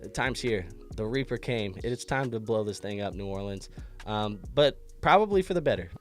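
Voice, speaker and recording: A man's voice: fairly low pitch, expressive, normal speed, faint music behind. Congested man giving a casual sports recap. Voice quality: frequent fillers and restarts, chatty. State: congested from illness. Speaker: fantasy football show host.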